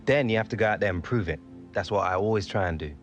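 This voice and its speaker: An actor playing a confident, eager young football player in a game cutscene, talking in an informal, matey way. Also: male voice, lowish voice, quick pace, dull audio, faint music behind, close to an everyday voice. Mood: self-assured. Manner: informal, matey, self-assured. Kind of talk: video game cutscene acting.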